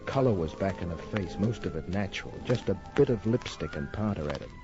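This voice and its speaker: An actor playing a wry, tough private detective narrating his case in an old-time radio drama, deadpan and wisecracking. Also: low-pitched, brisk. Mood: wry, smitten. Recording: muffled old radio recording with music.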